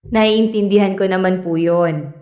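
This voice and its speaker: A woman playing a polite, patient fisheries speaker in a radio drama, explaining things in a measured, courteous way. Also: medium pitch, mellow, roomy sound, close to an everyday voice.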